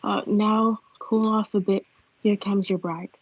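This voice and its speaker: A woman's voice: medium pitch, level tone, unhurried, breathy edge, no echo. A young woman reading a cautious, fatherly friar in amateur reader's theatre. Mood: cautioning. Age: teenager. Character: cautious fatherly male friar giving counsel. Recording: dull lo-fi audio.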